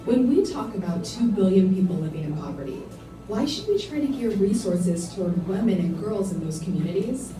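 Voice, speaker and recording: A woman's voice: mid-range voice, animated, fairly quick, over music. A woman reads a polished, persuasive script for an explainer video. It plays through speakers and reaches the mic from far off in an echoey room. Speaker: video narrator. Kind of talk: scripted narration.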